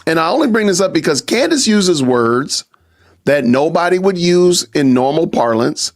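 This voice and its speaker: Chatty male live-stream host, higher voice. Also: big pitch swings, moderate pace. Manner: repeats rhetorical questions to chat. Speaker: podcast host. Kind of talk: casual live-stream commentary.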